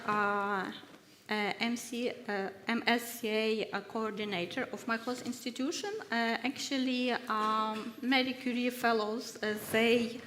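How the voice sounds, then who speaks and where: A woman's voice: fairly high pitch, a bit bright, slight background noise. Hesitant woman in an echoey hall. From Ukraine. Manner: hesitant, halting, many fillers. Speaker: research fellow speaking on a panel. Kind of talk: workshop panel talk. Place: university ceremonial hall. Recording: echoey hall with long reverb.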